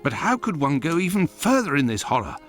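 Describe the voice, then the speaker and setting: A detective's loyal companion in a video game, an earnest and troubled gentleman. His voice sits slightly high and his phrasing is formal, with faint music behind. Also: big pitch swings, fairly quick. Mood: troubled, questioning. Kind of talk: scripted video game acting.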